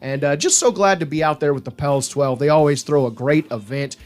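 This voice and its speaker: A man's voice: a little high, a little fast. A man co-hosting a sports podcast with casual, playful, mock-dramatic banter. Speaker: podcast co-host.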